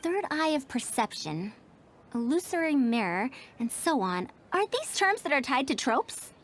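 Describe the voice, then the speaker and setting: A woman's voice: high voice, very animated, unhurried. A woman playing a haughty, old-fashioned scholar in an anime video game, speaking precisely and pedantically. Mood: critical, puzzled. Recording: game audio over clear background music.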